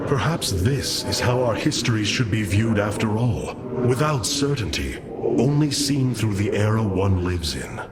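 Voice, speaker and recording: A man's voice: fairly low pitch, lively intonation, measured pace. A man playing a noble, resolute alien warrior leader in a video game, formal and oratorical. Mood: somber. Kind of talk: scripted game dialogue. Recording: loud music behind.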